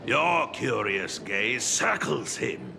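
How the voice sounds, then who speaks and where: A dignified, wry male storyteller talks slowly in a gravelly voice with theatrical swings of pitch. There is clear noise and ambience around this game character. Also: sing-song, big-room sound, full character voice. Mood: measured, narrating. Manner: measured, theatrical, dry. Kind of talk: video game narration and character acting.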